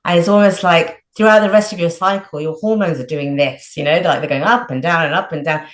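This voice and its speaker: Woman, health coach, low voice, chatty with fillers, roomy echo. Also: fairly quick. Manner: chatty, frequent "like" and "you know" fillers. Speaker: women's health coach, guest. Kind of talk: casual explanatory advice.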